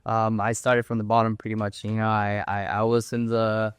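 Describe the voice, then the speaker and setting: Man, young, from Cuba, interview guest, halting, restarts phrases, dry close sound. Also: on the low side, completely flat delivery, clear, resonant tone, no room sound. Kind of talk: casual interview talk.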